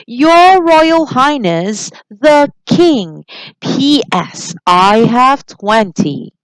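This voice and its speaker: A woman's voice: sits high, very animated, very slow, clean tone, dry recording, pitched above the performer's own voice, exaggerated voice, poor audio. A woman voicing a stately storybook king who announces his letters formally and emphatically. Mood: stately, announcing.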